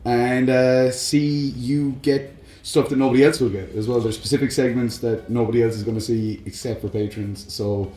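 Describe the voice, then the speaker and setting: A man's voice: medium pitch, fairly even intonation, moderate pace, warm tone. A man co-hosting a podcast, chatting casually about films and games. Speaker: podcast co-host. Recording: roomy echo, faint music behind.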